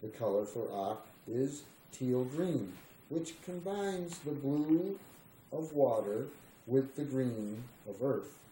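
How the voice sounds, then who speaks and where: A man's voice: mid-range voice, expressive, slow, warm tone. A man reading a spiritual text aloud in a meditative, scripted style. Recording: roomy echo, faint background noise.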